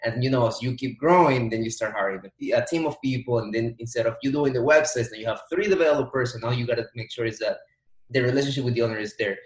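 A man's voice: medium pitch, fast. A young man. He talks in a motivational, hands-on way, stacking repeated words for emphasis. The room gives his voice a noticeable echo. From Dominican Republic. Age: 20s. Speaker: entrepreneur guest. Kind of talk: casual podcast interview. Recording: roomy echo.

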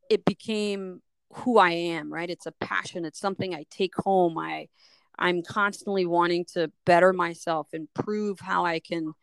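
Middle-aged woman from Liberia, physician guest, smooth voice, dry close sound, reflective and halting. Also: lowish voice, dry recording. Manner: thoughtful, pausing often and restarting phrases. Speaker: sports medicine physician, guest. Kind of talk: reflective personal story in an interview.

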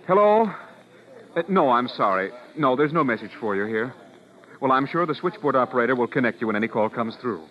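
A man acting as a bustling hotel desk clerk in an old radio play. He is polite and officious, with a very animated swing to his voice. The recording is dull and lo-fi. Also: mid-range voice, a little fast, close to an everyday voice. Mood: brisk, polite.

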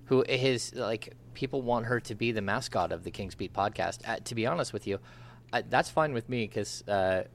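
A man's voice: low-pitched, a little hiss or hum. A man hosting a casual sports podcast, rambling with lots of fillers in a friendly way. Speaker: podcast host.

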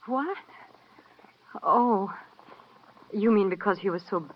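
An American actress playing a mature, flustered and guarded widow in a hesitant, genteel old radio drama manner. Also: female voice, mid-range voice, takes it slowly, lightly acted. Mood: defensive. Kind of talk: 1950s radio drama acting. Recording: muffled old radio recording, faint noise.

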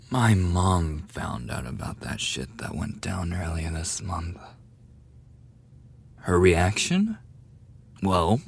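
Low-voiced brash young delinquent bully, acted by a man. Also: exaggerated voice. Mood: hostile, taunting. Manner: foul-mouthed, taunting, defensive talk to the listener. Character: young male delinquent bully, brash, defensive, secretly desperate. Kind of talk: boyfriend ASMR audio roleplay acting.

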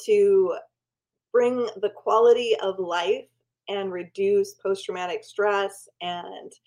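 A woman hosting a podcast interview, speaking in an enthusiastic, deliberate way as she welcomes her guest. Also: mid-range voice, fairly even intonation. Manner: warm, enthusiastic, slow deliberate intro. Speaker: podcast host.

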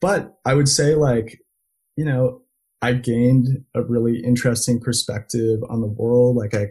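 A man's voice: mid-range voice, steady tone, measured pace, darkish tone, slightly rough. A man telling stories in a casual interview, full of "like" and restarts. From United States and Belgium. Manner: lots of filler likes, restarts. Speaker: interview guest. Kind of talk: casual conversational storytelling.

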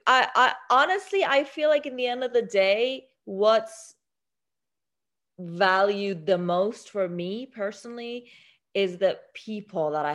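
Young woman, from Iran, interview guest, earnest, halting restarts. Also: on the low side, muffled recording. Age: young adult. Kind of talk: interview conversation.

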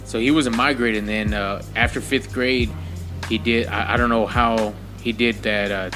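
A man's voice: fairly low pitch, fairly even intonation, normal speed, over music. A man who grew up in Texas and spoke Spanish first, co-hosting a casual podcast chat, blunt and profane, laughing often. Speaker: podcast co-host.

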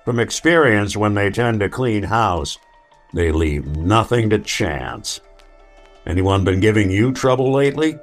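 An actor playing a world-weary, hard-boiled male detective in an old-time radio drama, speaking tersely and deliberately. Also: on the low side, animated, soft background music. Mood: terse, wry.

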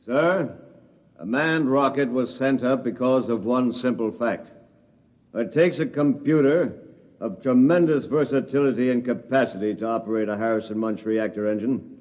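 An actor playing a grave, defensive general testifying in a radio drama, formal, measured and emphatic. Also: male voice, medium pitch, lightly acted. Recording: slightly dull radio recording.

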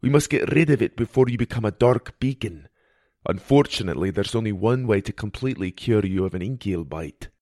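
A man voicing an earnest male mentor and ship healer in an adventure audio story, speaking gravely and emphatically. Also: fairly low pitch, animated, fairly quick, darkish tone, no room sound, studio quality, close to an everyday voice. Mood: grave, apologetic.

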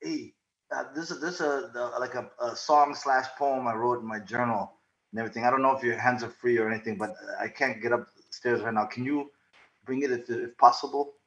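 A man in his forties from Zaire, raised in the United States and Zimbabwe, chatting casually as an interview guest, telling stories in an animated, halting way with repeated words. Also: mid-range voice, highs cut off. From born in Zaire, raised in the United States and Zimbabwe. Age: 40s. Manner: animated, halting storytelling with repeated words and frequent check-in tags. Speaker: podcast guest. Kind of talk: casual anecdotal interview chat.

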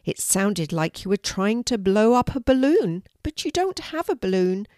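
A woman voicing a kind, encouraging young girl in a children's storybook, speaking in a gentle, reassuring way. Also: medium pitch, sing-song, normal speed, no room sound, studio quality, close to an everyday voice. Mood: curious.

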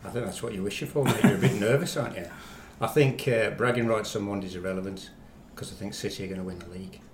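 A man's voice: lowish voice, fast talker. A middle-aged man with a West Midlands English accent, chatting confidently and wryly about football as a podcast guest. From Walsall, West Midlands of England. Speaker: chief reporter and podcast guest. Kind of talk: casual football banter. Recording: echoey room, faint background noise.

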